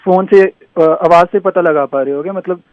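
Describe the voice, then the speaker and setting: High-voiced young man, earnest and aggrieved. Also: normal speed, no room sound, dull audio. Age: young adult. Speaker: prank call victim. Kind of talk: emotional casual phone chat.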